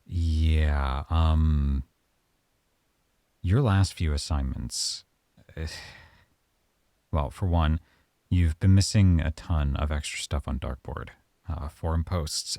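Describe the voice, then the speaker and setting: Deep, gravelly male lead for a close-mic ASMR roleplay, dry sound, calm and measured. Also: no echo. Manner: calm, measured, softly stern. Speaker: audio roleplay performer as a professor.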